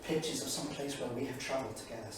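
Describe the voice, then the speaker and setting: Actor as a grieving diarist in an echoey theatre. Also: male voice, mid-range voice, quick pace, close to an everyday voice. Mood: haunted. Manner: literary, solemn, emphatic. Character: grieving, bitter male academic keeping a 1938 journal. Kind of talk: staged dramatic reading of diary entries. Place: small studio theatre, staged reading. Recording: echoey theatre, distant mic, faint noise.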